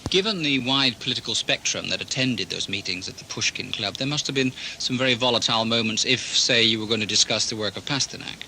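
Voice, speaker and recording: A man's voice: medium pitch, fast, few pauses, pushed and bright, a little hiss or hum, rough recording. A man presenting a radio documentary, with polished, scripted narration and interview questions.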